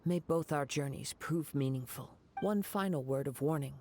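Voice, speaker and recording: A man voicing a calm young male wandering samurai in an ASMR fan voice-over of game lines, speaking softly and in a measured way. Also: fairly high pitch, soft background music, lightly acted.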